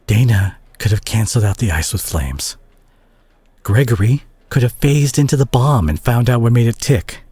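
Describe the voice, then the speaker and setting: A man playing a concerned, exasperated superhero scolding a reckless teammate in a dramatic audio roleplay, husky and breathy, with halting, emphatic pauses. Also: mid-range voice, animated, dark tone, no room sound. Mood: stern, exasperated.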